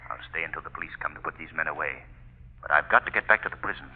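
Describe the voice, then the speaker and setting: A man's voice: very fast, a little hiss or hum. An actor playing a mysterious male crime-fighter in 1940s radio acting, solemn and commanding. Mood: grave. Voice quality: deep, solemn, with a menacing laugh. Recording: tinny vintage radio broadcast.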